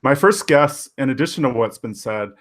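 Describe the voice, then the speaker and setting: A man in his fifties, a panel guest, speaking earnestly and thoughtfully with pauses. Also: mid-range voice, animated. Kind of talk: casual panel discussion.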